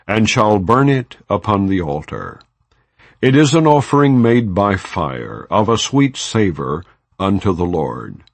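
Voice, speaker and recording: Low male voice reading bible audiobook, formal and measured, lots of rise and fall, really dry close sound. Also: dry recording. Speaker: audiobook narrator. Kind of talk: solemn scripture reading, non-dramatized audiobook.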